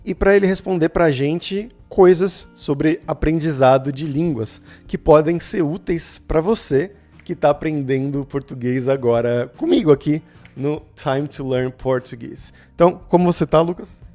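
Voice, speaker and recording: A Brazilian man hosts a relaxed interview, speaking in an upbeat, chatty way. His voice sits fairly high for a man, and faint music plays quietly underneath. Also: measured pace. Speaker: host. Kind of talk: casual interview. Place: shared apartment in Turkey.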